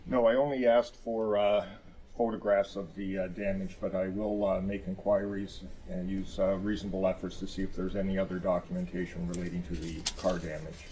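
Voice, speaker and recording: A man playing a cautious lawyer who speaks for his client in formal, deliberate, somewhat halting phrases. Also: low voice, flat delivery, mellow, natural voice, poor audio. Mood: measured, cautious. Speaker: plaintiff's counsel in a dramatized discovery. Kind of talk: formal legal speech, dramatized.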